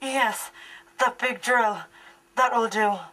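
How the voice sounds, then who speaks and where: A woman's voice: fairly high pitch, steady tone, slow pace, pushed and bright, slight background noise, poor audio. A young woman acting a commanding female scientist in a radio horror-comedy, recorded in a living room. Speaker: drama student actor. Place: living room of a large house.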